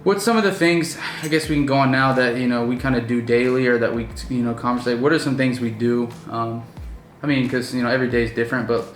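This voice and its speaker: A man's voice: medium pitch, steady tone, quick pace, few pauses, roomy sound, faint music behind. A man in his twenties hosting a casual business vlog, talking loosely with many fillers and restarts. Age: 20s. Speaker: vlog host and business owner. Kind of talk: casual chat. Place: indoors at night.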